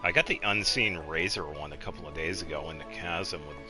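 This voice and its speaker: A man casually commentating a game on a live stream, chatting with viewers and thinking aloud in a low-key, rambling way. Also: fairly quick. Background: game music.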